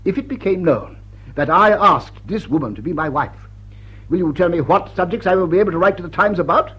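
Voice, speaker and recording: An actor playing a pompous, self-important lord in a radio drama, halting and repeating himself as he frets. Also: high-pitched, animated, fairly bright tone, husky, full character voice. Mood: indignant, pompous. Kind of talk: stage-comedy radio drama acting. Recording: dull, muffled radio drama recording.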